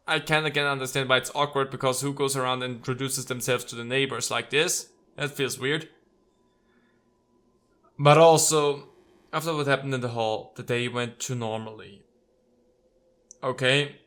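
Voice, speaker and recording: A man's voice: mid-range voice, steady tone, moderate pace, long pauses, fairly bright tone. A man playing a visual novel, reading its text aloud and adding wry, casual commentary. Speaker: let's player.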